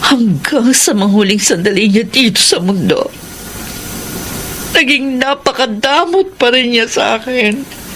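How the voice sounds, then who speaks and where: A woman's voice: a little high, animated, unhurried, fairly bright tone, slight background noise. An actress playing an embittered, weary widowed mother in a Filipino radio drama. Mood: weary, hurt.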